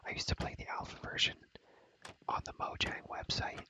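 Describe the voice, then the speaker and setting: A man whispering an ASMR video game tour, rambling and repeating his phrases over and over. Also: normal speed. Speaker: video creator showing a Minecraft world.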